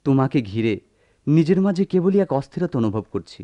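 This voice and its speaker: An actor playing a suave, flowery suitor, a company manager, with poetic theatrical delivery in a radio drama. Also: male voice, on the high side, sing-song, a little fast, dark tone, no echo, dull audio. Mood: romantic yearning. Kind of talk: melodramatic radio drama acting.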